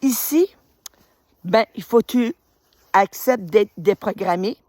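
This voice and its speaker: A woman's voice: mid-range voice, slow, no room sound. A woman, welcoming followers to her spiritual group in a casual, rambling way, recorded outdoors on a phone. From New Brunswick, Canada. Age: 50s. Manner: warm, enthusiastic, rambling with many fillers. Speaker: retired teacher and spiritual group leader. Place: outdoors on a road in winter.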